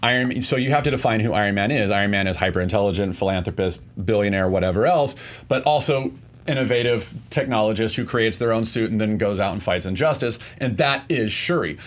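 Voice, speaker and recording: A man debating casually as a contestant, argumentative and often restarting his points in a halting way. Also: lowish voice.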